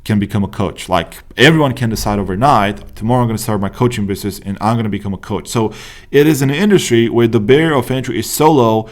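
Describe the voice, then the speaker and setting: Romanian-accented young man coaching over a screen share. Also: lowish voice. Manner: emphatic, repeats "right?" and "like", quick asides. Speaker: business coach. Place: indoor room at a desk.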